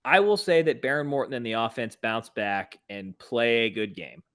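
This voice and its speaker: A man's voice: medium pitch, big pitch swings, moderate pace, fairly bright tone. A man co-hosting a sports podcast, talking casually in a blunt, emphatic, exasperated way. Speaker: podcast co-host.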